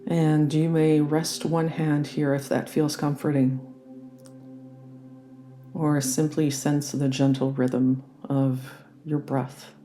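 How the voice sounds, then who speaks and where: A meditation guide leading a guided relaxation in a gentle, soothing and unhurried manner. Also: medium pitch, level tone, faint music behind. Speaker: yoga nidra meditation guide.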